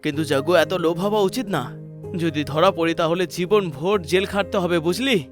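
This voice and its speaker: An anxious young cartoon thief played by a man, with a high voice over background music. He sounds earnest, hesitant and easily worried. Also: normal speed, close to an everyday voice. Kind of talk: cartoon voice acting.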